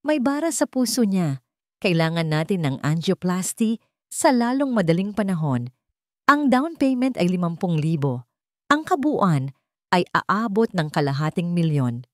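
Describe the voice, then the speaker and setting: A woman's voice: fairly low pitch, sing-song, unhurried, no room sound. A woman storyteller plays an urgent, serious doctor. The delivery is formal and matter-of-fact, recorded dry for an audio story.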